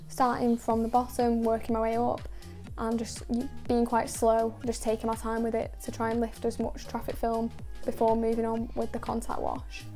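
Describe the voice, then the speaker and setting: Young woman, detailing host voice-over, very flat delivery, mellow tone, faint music underneath. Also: a little high. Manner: calm, measured, with many "sort of" and "kind of" fillers. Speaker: car detailing channel host. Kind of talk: voice-over narration of a car wash.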